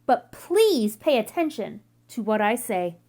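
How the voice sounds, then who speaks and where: A woman's voice: on the high side, sing-song, unhurried, mellow, pitched below the performer's own voice. A woman voicing a grave, weary male sausage sergeant in a storybook, with a solemn, warning manner.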